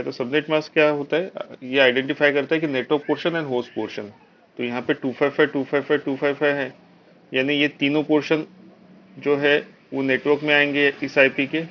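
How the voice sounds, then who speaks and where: A man with an Indian accent giving a live technical lecture as an instructor, explaining step by step with frequent check-in tags and mixing in English technical terms. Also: medium pitch. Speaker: networking instructor. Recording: dull, lo-fi online class audio.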